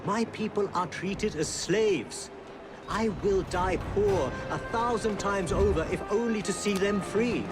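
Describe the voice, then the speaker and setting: High-voiced, dignified exiled Indian maharaja. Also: male voice, over music. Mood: earnest, proud. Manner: formal, measured, courteous. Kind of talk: dramatic video game cutscene acting.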